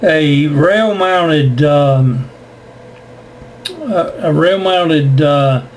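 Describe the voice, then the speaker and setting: A man with an American accent chats casually as a radio operator. His voice sits slightly high and moves at a slow pace, circling back over words. There is faint noise on the recording. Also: lively intonation. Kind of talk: casual on-air radio chat.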